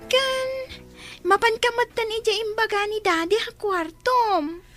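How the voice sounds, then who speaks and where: A woman's voice: very high voice, a little slow, a bit bright, soft background music. A woman playing a sweet, polite, eager young girl in an Ilocano drama. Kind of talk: Ilocano radio-style drama acting.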